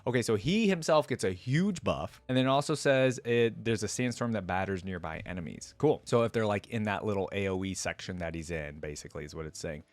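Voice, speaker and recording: A man's voice: on the low side, brisk, few pauses, no room sound. Casual man reacting, dry close sound. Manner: informal, excitable, thinking aloud. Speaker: reaction video host. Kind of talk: casual reaction commentary with some reading aloud.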